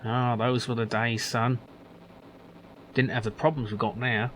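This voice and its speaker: A man voicing a gruff, grumpy older male factory worker, grumbling and dismissive. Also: medium pitch, flat delivery, unhurried, a bit bright, a little hiss or hum, lightly acted, poor audio. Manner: grumbling, dismissive, calls others son.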